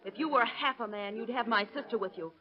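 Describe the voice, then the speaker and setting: A woman's voice: a little high, quick pace, natural voice. A woman acting a suspicious, sarcastic woman in an old radio drama, in a dull vintage recording. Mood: scornful.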